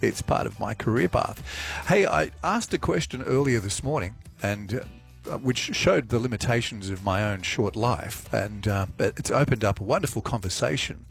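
Gravelly hoarse aussie radio guy, chatty, um-ing a lot, faint music under him. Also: lowish voice, lively intonation, moderate pace. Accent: Australian. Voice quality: raspy. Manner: chatty, informal, with fillers. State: hoarse after throat surgery. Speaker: radio host. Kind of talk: live radio presenting. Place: radio studio. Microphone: studio microphone.

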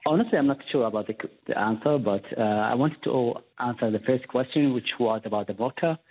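Over a narrow phone line, a husky-voiced man from Saudi Arabia speaks. He is a polite, somewhat hesitant radio quiz caller chatting casually. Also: medium pitch, a little fast. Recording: muffled phone-line call.

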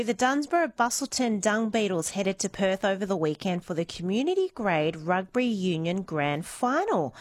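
A woman's voice: medium pitch, no echo. Chatty woman radio host, dry studio. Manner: bright and chatty, prompting the guest. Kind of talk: radio talk show hosting. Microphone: studio microphone.

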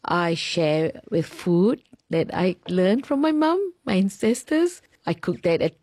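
Measured Singaporean woman, low voice. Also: animated, darkish tone, no room sound, studio quality. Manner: measured, list-like phrasing with pauses. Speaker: interviewee, teacher. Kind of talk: reflective interview answers.

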